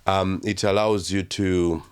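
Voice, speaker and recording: Man, Ugandan accent, very low pitch, young adult, hesitant, reflective, interview guest. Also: steady tone, a little hiss or hum. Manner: halting, reflective, heavy with fillers. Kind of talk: casual interview storytelling.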